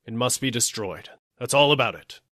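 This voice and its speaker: A man's voice: mid-range voice, expressive, moderate pace, pushed and bright, dry recording, clean studio sound. A man voicing a pompous, bossy police superintendent in an audiobook, with a stern, self-important, barking manner. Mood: bossy, dismissive. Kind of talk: audiobook character acting.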